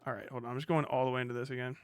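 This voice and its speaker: A man's voice: medium pitch, steady tone, very fast, no echo, studio quality. A middle-aged man giving casual, rambling reaction commentary as a livestream host, full of slang and swearing. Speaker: livestream host, former Marine.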